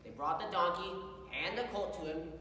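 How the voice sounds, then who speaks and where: A young woman gives a dramatized scripture recitation, with theatrical delivery that moves between hushed and loud. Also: fairly low pitch, normal speed. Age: young adult. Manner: theatrical, swings from hushed to shouted. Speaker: youth performer at a church festival. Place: large echoey indoor room. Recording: echoey hall, far from mic, hum.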